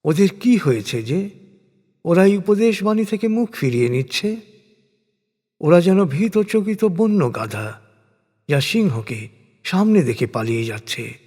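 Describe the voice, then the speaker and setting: A man reads scripture in translation as a solemn scripted narration, with measured, dramatic phrasing and deliberate pauses. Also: sits high, big pitch swings, warm tone. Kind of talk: solemn scripted religious recitation in prose. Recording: long hall-like reverb.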